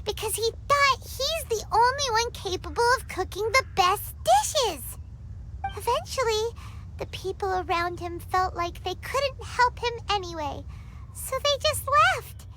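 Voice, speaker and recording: Squeaky little fairy girl for a game, chatty and excitable, voice bouncing all over, slow drawn-out delivery, loud music behind. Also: noisy background. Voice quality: high squeaky childlike voice. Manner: animated, playful storytelling with big swings. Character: tiny chatty female fairy companion, excitable. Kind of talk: video game cutscene dialogue.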